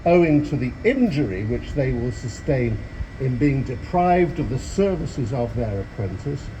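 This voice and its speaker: A man playing a solemn colonial captain, reading a proclamation in a formal, stately and deliberate way. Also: medium pitch, big pitch swings, warm tone, muffled recording, slight background noise. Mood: solemn, official. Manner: stately, ceremonious, deliberate phrasing. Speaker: amateur drama society actor.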